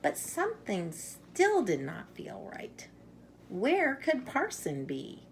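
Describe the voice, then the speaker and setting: A woman storyteller who reads a children's picture book aloud expressively, with dramatic pauses. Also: a little high, huge pitch swings, slight background noise. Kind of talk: expressive read-aloud storytelling with casual introduction.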